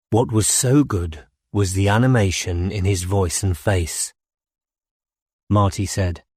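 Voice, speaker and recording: A man narrates a novel as an audiobook reader. His voice sits somewhat low. The sound is very dry, with no room around it, and the delivery is scripted storytelling. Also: mellow, slightly rough, dry recording.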